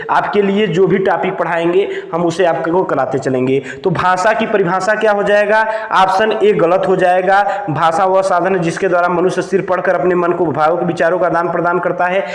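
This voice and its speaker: High-pitched male teacher in an echoey room. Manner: repetitive, asks questions and answers them himself. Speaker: teacher of a Hindi exam-prep channel. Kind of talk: lesson explained point by point for note-taking.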